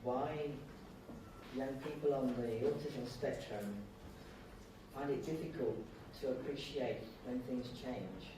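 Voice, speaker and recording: Older Australian man, very echoey hall. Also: mid-range voice. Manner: conversational, halting short phrases, self-deprecating. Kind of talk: informal public talk. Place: indoor lecture hall. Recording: very echoey hall, distant mic, noisy.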